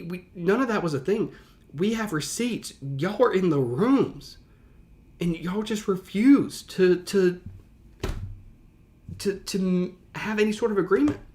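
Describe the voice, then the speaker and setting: A man giving casual, enthusiastic reaction commentary as a streamer, speaking in informal slang and often repeating himself. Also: sits high. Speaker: teacher and streamer hosting a reaction live stream.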